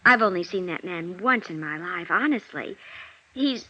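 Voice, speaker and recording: Flustered showgirl, lively high-low swings. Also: normal speed. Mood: embarrassed, hesitant. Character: flustered young stage actress, embarrassed, protesting, genteel. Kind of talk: 1950s radio drama acting. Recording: dull vintage radio recording.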